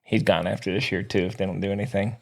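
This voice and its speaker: A man co-hosting a sports podcast, bantering casually in an excitable, opinionated and slangy way. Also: low-pitched, quick pace, gravelly.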